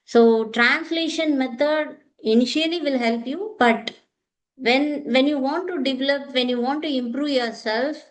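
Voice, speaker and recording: A woman talks through speaking practice in a high voice. She sounds like a teacher in casual conversation, agreeing often and encouraging the other speaker. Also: measured pace. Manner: encouraging, repeats "okay" often. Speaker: English teacher and host.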